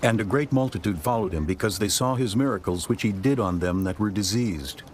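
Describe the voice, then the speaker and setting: A man narrating scripture from a script in measured, formal storytelling. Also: on the low side, slight background noise.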